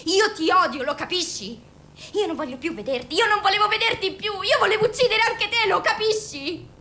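A woman's voice: very high-pitched, animated, fairly quick, bright, forceful tone, heavily acted. A woman acting in an Italian radio drama as a bitter, volatile young female patient, defiant and sarcastic, who swears and confronts. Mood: furious. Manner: sarcastic, confrontational, foul-mouthed.

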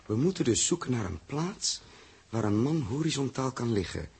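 Husky, decisive spaceship captain. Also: male voice, mid-range voice, sing-song, a little mellow, exaggerated voice. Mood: reasoning. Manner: firm, reasoning, brisk. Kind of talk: 1960s radio drama acting.

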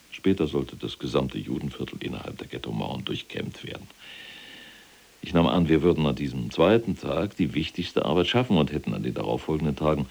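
A man's voice: very deep voice, darkish tone, exaggerated voice. An actor playing a proud, pedantic captive SS general in an audio drama, formal and self-important as he recounts his deeds. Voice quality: gravelly, husky.

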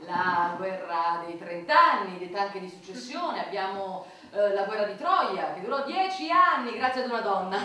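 A woman's voice: mid-range voice, animated, moderate pace, few pauses, bright, forceful tone. An actress playing an eager, smugly reasonable woman on a war council in satirical radio theatre, speaking like an orator. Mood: pondering, smug. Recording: very echoey, distant microphone.

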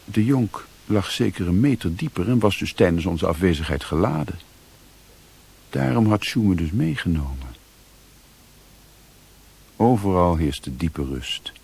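An actor playing a weary, sombre adventurer, narrating his journey in measured, solemn literary style for a radio drama. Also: sits low, very animated, vocal fry, a little mellow, slightly rough, exaggerated voice. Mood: sombre, brooding.

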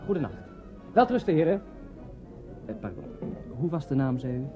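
An actor playing a busy, obsequious hotel night porter in 1930s radio style, hurried and politely apologetic. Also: male voice, a little high, sing-song. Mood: flustered, polite. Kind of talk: 1930s radio play acting. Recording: dull 1930s radio, music behind.

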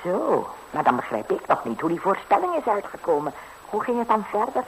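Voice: mid-range voice, huge pitch swings, fairly quick, a little hiss or hum, rough recording. A performer playing the devil's canny old mother, coaxing and teasing in a 1960s radio fairy tale. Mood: curious, indulgent. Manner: coaxing, teasing, chuckling old-woman talk.

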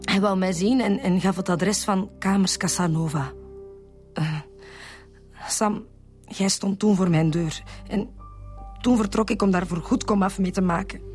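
An actress with a Flemish accent playing a lovesick, betrayed woman confessing haltingly to the police in a radio drama. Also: medium pitch, steady tone, a little mellow, faint music behind, close to an everyday voice. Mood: resigned. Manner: halting, repeats words.

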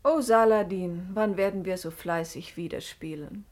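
An actress playing a clever, devoted sister of a sultan in a 1950s German radio play, with measured stage diction. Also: female voice, fairly low pitch, darkish tone, natural voice. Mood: concerned.

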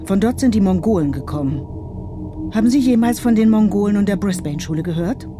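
A woman's voice: medium pitch, animated, fast, over music, lightly acted. A woman playing a haughty, fussy, disdainful passenger in a radio play, with an imperious and affected manner. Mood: disdainful, bored. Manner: imperious, affected, easily scandalised. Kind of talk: German radio play dialogue.

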